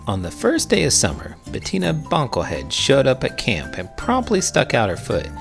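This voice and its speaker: A man's voice: medium pitch, huge pitch swings, normal speed, vocal fry, faint music behind. A man hosting a read-aloud, telling a children's storybook in a theatrical, playful way. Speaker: read-aloud host, teacher and writer. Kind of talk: expressive children's storybook reading.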